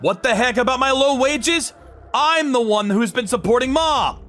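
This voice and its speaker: A man's voice: high voice, sing-song, moderate pace, pushed and bright, voice pushed up, full character voice. A man playing an indignant, blunt older brother, a protective factory worker, in a dramatized story. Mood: defiant. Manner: blunt, scolding.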